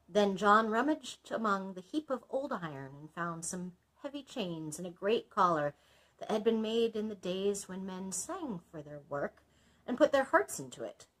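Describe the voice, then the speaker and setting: Husky, animated woman reading a storybook. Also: medium pitch, rough recording. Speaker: librarian reading to children. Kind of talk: expressive storybook read-aloud with asides.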